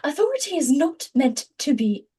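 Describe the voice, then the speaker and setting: A woman's voice: high voice, big pitch swings, moderate pace, dark tone, pitched above the performer's own voice. A woman voicing a prim, authoritarian female government official, stern and theatrical, with barely contained fury. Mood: stern, indignant. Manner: stern, clipped, girlishly prim proclaiming. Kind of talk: theatrical villain monologue.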